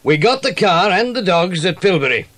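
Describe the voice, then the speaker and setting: Man playing a high-voiced genial police inspector. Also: lively intonation, normal speed, a bit bright, dry recording. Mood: genial, pleased. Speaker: police inspector character in a children's audio drama. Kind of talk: dramatised children's audiobook acting.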